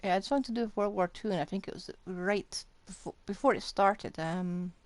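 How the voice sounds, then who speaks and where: A woman's voice: medium pitch, moderate pace, dry recording. A woman streamer giving casual commentary on a game, chatty and thinking aloud. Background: game music.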